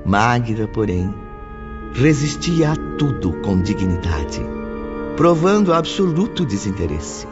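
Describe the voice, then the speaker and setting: An actor serving as a formal storyteller, narrating a dramatised audiobook. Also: male voice, lowish voice, big pitch swings, takes it slowly. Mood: measured. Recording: dull lo-fi audio with music. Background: music.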